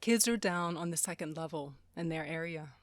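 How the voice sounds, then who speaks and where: A woman's voice: sits low, moderate pace, no echo, natural voice. A woman acting as a blunt, wry, tough sister in an audio drama, speaking in a dry, brusque way.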